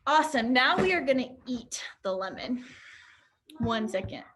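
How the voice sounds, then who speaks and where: Young woman drama teacher on a video call, high pitched and encouraging, coaxing kids to pretend. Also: big pitch swings, moderate pace, a bit bright. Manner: encouraging, upbeat, guiding pretend play. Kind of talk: online drama lesson for kids. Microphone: computer on a video call.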